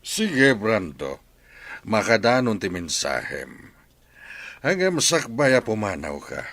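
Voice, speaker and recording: A blustering, evasive father in middle age, played by a man. His voice is very deep but leaps up and down as he dodges questions. He sounds like a figure in a homespun radio drama. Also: very animated, slow, gravelly, fairly bright tone, dry recording, exaggerated voice.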